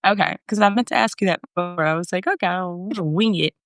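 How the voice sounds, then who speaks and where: A woman's voice: fairly low pitch, a little fast, a bit bright, no room sound, clean studio sound, soft background music. A woman hosting a faith podcast, talking in a loose, chatty way with many fillers and restarts. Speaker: podcast host. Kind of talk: casual interview. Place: home abroad, on a remote call.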